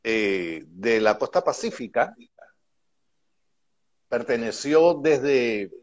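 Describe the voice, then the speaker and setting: A man's voice: medium pitch, animated, drawn-out words, highs cut off. A man hosting a live video interview, agreeable and often chiming in to agree. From Panama. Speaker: host. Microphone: video call.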